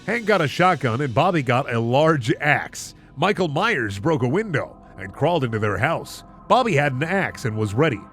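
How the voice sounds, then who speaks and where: A man's voice: medium pitch, big pitch swings, moderate pace, hardly any pauses, fairly bright tone, soft background music. A man hosting a comedic reading of bad fan fiction, narrating with incredulous, sardonic asides. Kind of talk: comedic narration with sarcastic commentary.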